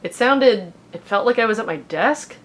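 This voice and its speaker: A woman reading fan fiction aloud in an expressive, informal way, slipping into casual, self-mocking asides. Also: on the high side, moderate pace. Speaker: fan fiction reader on a comedy show.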